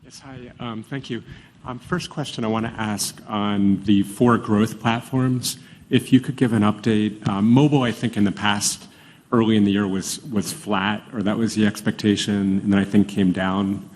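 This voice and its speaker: A man's voice: on the low side, steady tone, normal speed, darkish tone. A man asking an analyst's questions, unscripted, on an earnings call.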